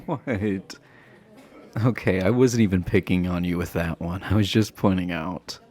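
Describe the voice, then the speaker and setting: Man playing a deep-voiced flirty himbo jock. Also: steady tone, a little mellow. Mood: playful teasing. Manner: halting, bashful chuckling, teasing. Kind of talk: romantic roleplay audio acting, addressed to listener.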